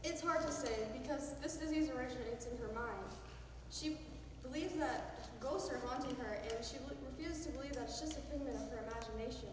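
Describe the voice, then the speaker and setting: An actress playing a calm, reasoning doctor who soothes a troubled patient. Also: female voice, high voice, fairly quick, noisy background, lightly acted. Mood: explaining. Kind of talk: stage play acting. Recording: echoey hall from far off.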